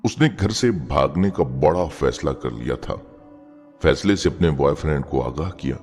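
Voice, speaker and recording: Very deep-voiced male storyteller in heavy reverb. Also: expressive, normal speed, a little mellow. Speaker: narrator of moral stories. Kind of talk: scripted dramatic story narration. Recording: long reverb, music bed behind. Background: background music.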